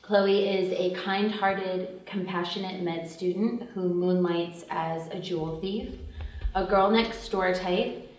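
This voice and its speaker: A woman's voice: fairly low pitch, steady tone, slow pace. A woman, an actress at a table read, introducing herself and reading out a character description. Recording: echoey room, distant microphone.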